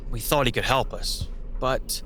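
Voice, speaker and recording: An uneasy, sincere young man in an audio drama. His voice is bright and mid-pitched, a bit hesitant, over faint ambient noise. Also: a little slow. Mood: regretful, uneasy. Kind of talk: audio drama acting, naturalistic.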